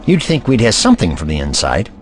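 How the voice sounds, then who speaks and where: A man playing an eccentric, brainy time traveller in an audio drama, kindly but testy, musing aloud in a wordy, gently pedantic manner. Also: fairly low pitch, wildly swooping pitch, fast. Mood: wary, musing.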